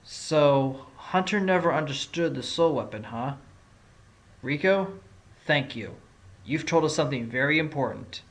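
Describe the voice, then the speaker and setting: A man's voice: fairly high pitch, expressive, measured pace, a little hiss or hum. A man playing a confident fox defence lawyer with an assertive, probing courtroom manner in an audio drama.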